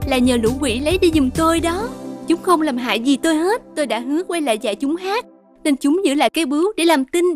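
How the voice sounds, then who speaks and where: Gentle high-voiced servant girl. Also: expressive, fast talker. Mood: earnest. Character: gentle young servant girl who sings folk songs. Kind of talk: animated fairy-tale voice acting. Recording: music behind the voice.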